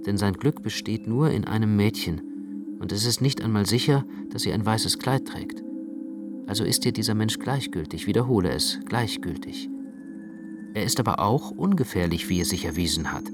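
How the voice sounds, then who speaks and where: A man narrating literary prose for a radio production in a dramatic, expressive way. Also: on the low side, moderate pace, over music.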